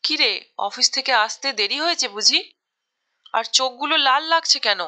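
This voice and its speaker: A woman's voice: high-pitched, expressive, moderate pace, sharp tone, heavily acted. A woman playing a fretful, meddling middle-aged mother in a cartoon story, probing and nagging as she talks. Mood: probing, disapproving. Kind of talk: Bengali cartoon story acting.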